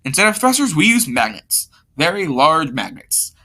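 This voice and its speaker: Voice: mid-range voice, very animated, moderate pace, sharp tone, heavily acted. A story reader voicing an eager schoolboy inventor with a reckless streak.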